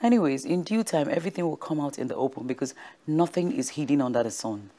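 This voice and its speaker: A woman's voice: low voice, animated, fast, mellow. An actress playing a nosy, suspicious woman who muses to herself in an insinuating way in a screen drama. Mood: suspicious, musing.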